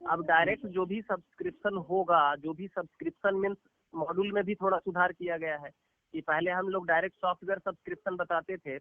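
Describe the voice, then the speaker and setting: A man's rather high voice comes through a thin telephone recording. He speaks as a company executive briefing callers, formal and explanatory, sprinkling English business words through his speech. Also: fairly even intonation, brisk, no room sound. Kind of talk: business briefing on a phone call. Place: phone call. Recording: narrow, tinny phone call recording.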